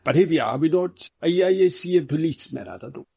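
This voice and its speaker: A man voicing a foreign male detective who speaks halting, broken English and jokes dryly. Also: fairly high pitch, expressive, vocal fry, darkish tone, breathy edge, pitched above the performer's own voice, full character voice. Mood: teasing.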